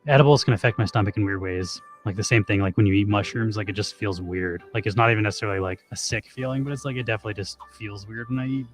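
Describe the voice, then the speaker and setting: A hoarse man co-hosting a stream, chatting casually and rambling with lots of "like". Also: fairly low pitch, quick pace, hardly any pauses, soft background music. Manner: rambling, heavy use of "like". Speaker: streamer co-host.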